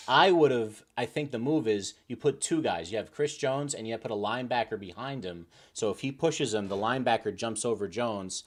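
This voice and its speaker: A man on a sports talk show delivering commentary and updates as a panelist. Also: on the low side.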